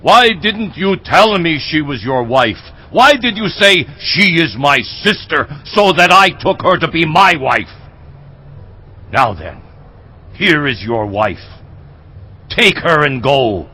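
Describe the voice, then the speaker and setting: A man's voice: on the high side, very animated, takes it slowly, bright, forceful tone, slightly rough, heavily acted. An actor playing an angry, imperious Egyptian king, indignant and commanding, in a dramatized audio scripture. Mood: outraged.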